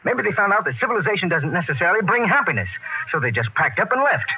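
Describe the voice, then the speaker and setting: Expedition captain: American, intense and dramatic, animated intonation, vintage sci-fi radio sound, very thin band. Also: male voice, high-pitched, quick pace, slight background noise. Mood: fervent, insistent. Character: earnest, brooding male captain of a doomed space expedition. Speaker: actor and first-person narrator in radio drama. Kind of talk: 1950s radio drama acting. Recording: narrow, tinny 1950s radio recording with music.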